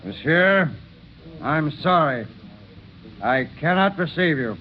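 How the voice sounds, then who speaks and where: An actor playing a suspicious, unyielding innkeeper with a curt, deliberate manner in an old radio drama. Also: male voice, on the high side, lively intonation. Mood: coolly refusing. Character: cold, suspicious French village innkeeper turning away guests. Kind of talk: 1930s radio drama acting. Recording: muffled, noisy vintage radio recording.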